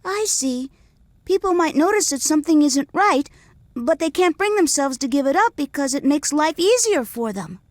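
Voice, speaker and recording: A woman acting a gentle, selfless young girl in a fantasy video game. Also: high-pitched, expressive, normal speed, no echo. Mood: thoughtful.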